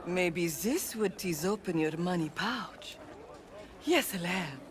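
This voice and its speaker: A woman's voice: fairly low pitch, animated, slow. A woman playing a haughty, theatrical, wily trader in a video game, with some ambient noise behind her. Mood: wheedling. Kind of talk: video game character acting.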